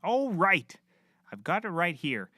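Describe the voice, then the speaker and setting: A man's voice: sits high, wildly swooping pitch, a little slow, bright, forceful tone, no echo, studio quality, soft background music, exaggerated voice. A man playing a cheerfully clueless, rambling private eye who cracks corny jokes in a scripted comedy. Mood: eager, rambling. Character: bumbling, chirpy, oblivious male puppet-world private eye.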